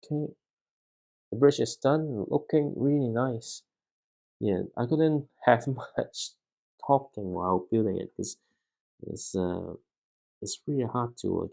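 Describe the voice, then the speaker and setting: A man who is not a native English speaker vlogs casually while building a toy set at home, talking quietly and haltingly with many fillers and a dry throat. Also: lowish voice, vocal fry, low-quality recording.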